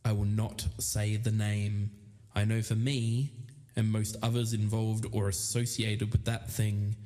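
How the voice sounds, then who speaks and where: Man, slightly low-pitched podcast host. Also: flat delivery, unhurried, dark tone. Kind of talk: podcast narration, reading quotes.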